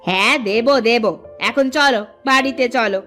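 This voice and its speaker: A woman's voice: a little high, unhurried, a bit bright, soft background music. A woman voicing a bossy, spiteful village stepmother in a cartoon story, scolding as she talks.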